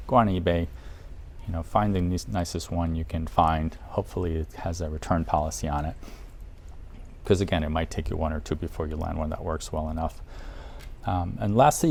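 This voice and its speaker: A man's voice: sits low, creaky, darkish tone. A man presenting a casual product guide in an audio shop, talking informally and with enthusiasm for the gear. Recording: noticeable background noise, room ambience.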